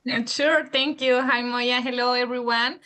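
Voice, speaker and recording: Bright, high-voiced woman from Chile. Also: steady tone, a little slow. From southern Chile. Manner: warm, polite, careful phrasing. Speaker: panelist, nonprofit CEO. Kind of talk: panel self-introduction.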